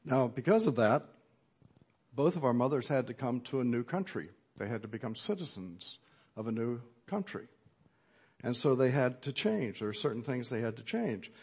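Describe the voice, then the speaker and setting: A middle-aged man gives a sermon in an earnest, measured way. His voice sits at an ordinary pitch for a man. He pauses now and then with small fillers as he explains. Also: big pitch swings, darkish tone, husky. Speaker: pastor.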